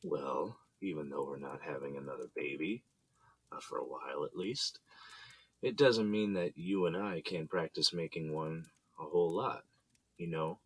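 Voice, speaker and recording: Deep, rough-edged, forceful husband played by a man. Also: normal speed, heavily acted. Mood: flirtatious, coaxing. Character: husband and father, amorous with wife, frantic in crisis. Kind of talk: audio drama acting.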